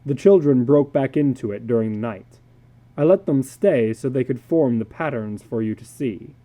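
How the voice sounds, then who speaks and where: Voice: mid-range voice, moderate pace, warm tone, lightly acted. A reader voicing a worried, defensive father in a dramatic story reading, with an earnest, questioning manner. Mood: anxious.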